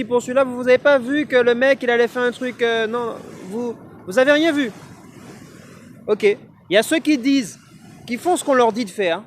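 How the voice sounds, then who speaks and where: A man with a high voice speaks reflectively outdoors, pausing often and hunting for the right words. Faint wind and passing traffic sit behind him on the recording. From Martinique. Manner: reflective, searching for words with many fillers. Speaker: vlogger. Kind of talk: casual personal vlog monologue.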